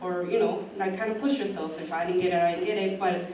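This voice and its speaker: A woman's voice: fairly low pitch, steady tone, fast talker, a little mellow. A woman from Los Angeles, a police officer, giving an unscripted answer on a panel. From Los Angeles, California. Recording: echoey hall, distant mic, background noise.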